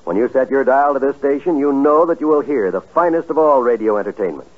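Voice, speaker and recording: Animated 1950s radio announcer man, tinny. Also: mid-range voice, breathy edge. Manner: formal, stately.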